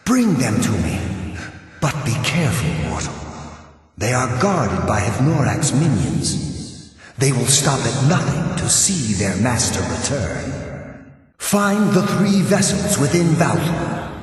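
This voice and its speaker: A weary ghost guardian speaks with slow, archaic gravity. The breathy male voice swings in pitch and trails off into long, echoing reverb, as in a fantasy video game. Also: very animated, far from the mic. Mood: grave, urgent. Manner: slow, grave, archaic phrasing. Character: solemn, weary ghost guardian of an ancient tomb. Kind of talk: video game character voice acting.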